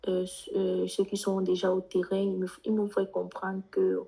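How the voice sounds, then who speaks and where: Husky, subdued English-speaking woman student. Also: mid-range voice, monotone, moderate pace, mellow, low-quality recording. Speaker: international student asking for advice. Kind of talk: spontaneous question in a workshop.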